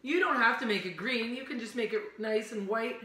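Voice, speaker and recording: A bright, fairly high-voiced woman in her thirties walks through a recipe at home, relaxed and a little teasing. She sounds picked up by a camera across a kitchen, with a roomy echo. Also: a little fast. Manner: relaxed, teasing, step-by-step instructions. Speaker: home cooking show host. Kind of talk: casual cooking demonstration. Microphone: far camera. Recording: echoey kitchen room.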